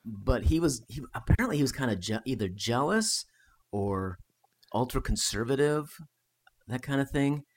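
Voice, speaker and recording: Male podcast guest, average pitch, casual storyteller in easy conversation. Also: expressive, moderate pace, a little hiss or hum. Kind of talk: casual chat, storytelling about history.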